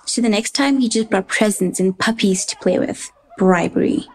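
Woman as brash, deadpan ten-year-old girl. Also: mid-range voice, moderate pace, close to an everyday voice, low-quality recording. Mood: deadpan, teasing. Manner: deadpan, cheeky, blunt. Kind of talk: romantic comedy audio drama acting.

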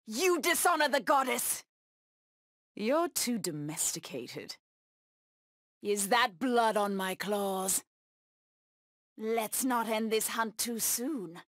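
A bloodthirsty cat lady villain from a fighting game, proud n taunting, really slow menacing lines, super dry sound. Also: fairly high pitch, lively intonation, fairly bright tone, dry recording. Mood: fierce, bloodthirsty. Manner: menacing, taunting, deliberate delivery. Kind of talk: video game character battle taunts.

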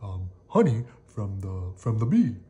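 A narrator voicing a big, bumbling brown bear from a storybook, halting and stammering. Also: low voice, sing-song, dark tone, highs cut off, voice pushed down.

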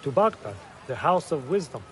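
A man's voice: a little high, sing-song, normal speed, slight background noise. An actor playing a friendly, dutiful male scholar in a video game, speaking courteously and sincerely. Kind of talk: dramatic video game acting.

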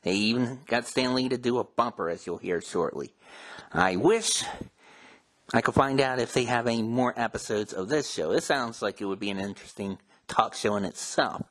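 A man's voice: medium pitch, normal speed, a bit bright. A man speaking as a host, introducing and explaining program segments to listeners. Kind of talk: scripted show narration.

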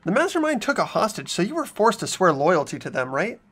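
A man's voice: high voice, sing-song, brisk, fairly bright tone, exaggerated voice. A man voicing an earnest, trusting teenage boy, the protagonist of a visual novel game, speaking insistently.

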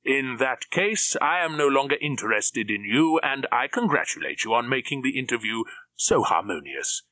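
A man's voice: mid-range voice, very animated, normal speed, bright, forceful tone, no echo, voice pushed up. A man voicing a cold, menacing middle-aged villain with a clipped, commanding manner. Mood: coldly gloating. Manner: clipped, commanding, coldly mocking.

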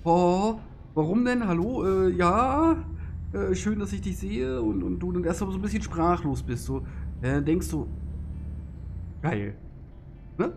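A man's voice: high voice, expressive, measured pace, vocal fry, pauses often, slight background noise. Rambling man narrating a truck game. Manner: chatty, filler-heavy, self-deprecating. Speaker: let's play host. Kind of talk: rambling gameplay commentary.

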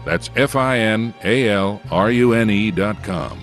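A man reading scripted production credits as an announcer in a measured, formal way. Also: lowish voice. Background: faint music.

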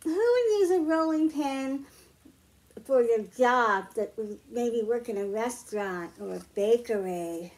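A middle-aged woman reading picture books aloud to young children, explaining slowly and clearly at home. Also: fairly high pitch, animated, darkish tone. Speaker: children's librarian. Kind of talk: storytime read-aloud for kids.

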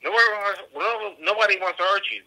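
A man speaks quickly over a phone line in a very bright, tense voice. He argues defensively, repeating his denials insistently as a caller. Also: high voice, gravelly. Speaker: caller, church deacon.

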